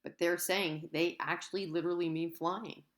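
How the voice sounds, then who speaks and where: A woman with a low-pitched voice reads to young pupils as their teacher. She is expressive and often turns to address the children with short explanations. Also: moderate pace. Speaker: third-grade teacher reading aloud. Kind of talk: animated children's read-aloud with teaching asides.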